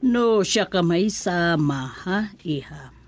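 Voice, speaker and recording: A woman's voice: on the low side, animated, slow pace, faint music behind. A woman acting a concerned, middle-aged auntie who gives motherly advice in a radio drama. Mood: gently probing. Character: concerned, motherly auntie advising her niece.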